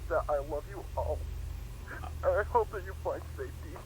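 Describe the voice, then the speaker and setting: A man's voice: fairly high pitch, normal speed, a little hiss or hum, pitched above the performer's own voice, natural voice, low-quality recording. A man voicing a doomed young female pony, apologetic and pleading in a horror story transmission. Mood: sorrowful farewell.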